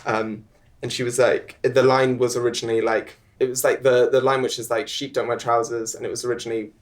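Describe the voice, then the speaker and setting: A young man talking on stage at a live panel event. He chats easily but halts a lot, with frequent ums and restarts in the middle of sentences. His voice sits at a middling pitch for a man. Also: flat delivery. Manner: chatty, halting, full of ums and restarts. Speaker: filmmaker guest.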